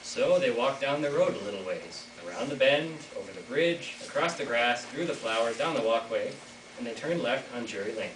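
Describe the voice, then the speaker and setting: A man's voice: medium pitch, expressive, normal speed. A teenage boy reading scripted fairytale narration for a school readers' theater. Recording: echoey noisy hall from far off.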